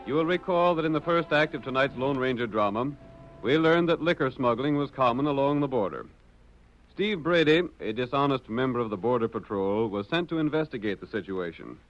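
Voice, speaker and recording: Old time radio announcer guy, american, formal scripted narration, medium pitch, muffled vintage sound. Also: animated, a little fast. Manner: formal, clearly articulated. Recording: dull lo-fi old radio recording.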